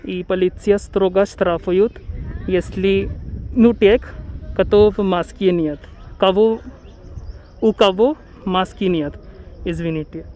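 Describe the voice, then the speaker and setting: Slow, careful man. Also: high voice, steady tone, darkish tone, slight background noise. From India. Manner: careful, self-correcting, dreamy. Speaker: travel vlogger. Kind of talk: walking tour commentary. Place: outdoor lakeside park.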